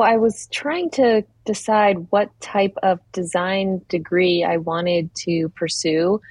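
A woman's voice: on the low side, no echo. A woman from Philadelphia, a furniture designer, thoughtfully recounting her path in an interview, often restarting her sentences. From Philadelphia, United States. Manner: thoughtful, with frequent restarts and "you know". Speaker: furniture designer, podcast guest. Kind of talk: reflective interview storytelling.

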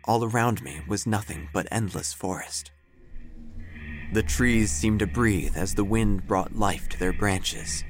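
An actor playing a melodramatic, self-important obituary writer who narrates an audio drama with theatrical pauses. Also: male voice, fairly low pitch, soft background music. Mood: brooding, ominous. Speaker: narrator and protagonist. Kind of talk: first-person audio drama narration, gothic parody.

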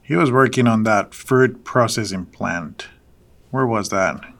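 An actor playing an older farm patriarch in an audio drama, courteous but probing, telling things in a folksy, unhurried way. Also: male voice, on the low side, gravelly, fairly bright tone. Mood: fond. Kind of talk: naturalistic audio drama dialogue.

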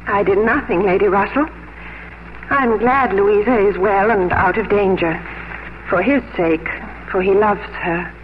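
A sensible, gentle gentlewoman, acted by a woman in a vintage radio play. She is measured and composed, with a middle-pitched voice. The recording is muffled and clearly noisy. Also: close to an everyday voice. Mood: resigned, quiet. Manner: calm, wistful, measured. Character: gentle, sensible, composed English gentlewoman past her youth. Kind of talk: 1950s radio drama acting.

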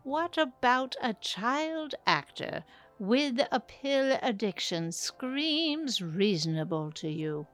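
An actress playing a stern studio boss in an audio drama, measured, cutting and condescending. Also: female voice, a little high, lively intonation, faint music behind. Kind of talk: scripted audio drama acting.

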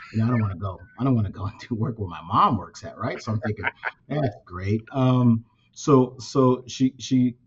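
A man's voice: fairly low pitch, breathy edge. A man in his fifties, a native Spanish speaker raised in California, talking as a company president in a casual interview, with many fillers and restarts. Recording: dull lo-fi sound.

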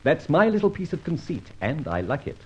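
A cocky gentleman thief. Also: a little high, very animated. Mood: scheming, playful. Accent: Australian. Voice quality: brisk, theatrical, witty. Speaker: lead character. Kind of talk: 1950s radio drama acting. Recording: dull old radio recording, faint hiss.

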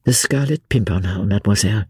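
An audiobook reader voicing an ardent young English gentleman, solemn and full of admiration. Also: low voice, fast, slightly rough, dry recording, studio quality, pitched below the performer's own voice. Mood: reverent.

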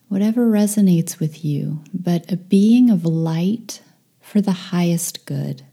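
Woman, meditation guide, very dark mellow tone, husky breathy near-whisper, gentle, slow. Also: low-pitched. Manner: gentle, soothing, unhurried with long pauses.

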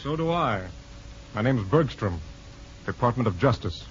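An actor playing a dry, insistent government investigator in 1950s American radio drama, terse and official. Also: male voice, medium pitch, animated, normal speed, lightly acted. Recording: dull, faintly noisy old radio recording.

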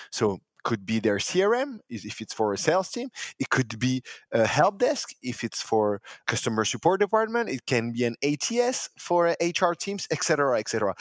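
A man speaking as an explains things in an interview as a company founder would, talking conversationally. His mid-pitched voice moves up and down with lively intonation, and the sound is dry with little room around it. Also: no echo. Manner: explanatory, voices imagined quotes, non-native phrasing.

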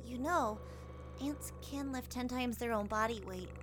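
A woman's voice: fairly high pitch, measured pace, heavy background noise, close to an everyday voice. A woman playing an eager young female tunnel digger who talks in a chirpy, curious way. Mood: chatty.

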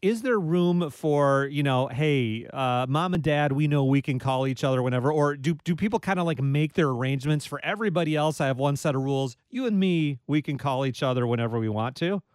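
A man's voice: a little high, fairly quick, hardly any pauses, darkish tone, smooth, no room sound. A man hosting a public radio call-in show, chatting in a jokey, easygoing way from a studio. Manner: jokey, conversational, self-deprecating. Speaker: radio talk show host. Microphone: studio microphone.

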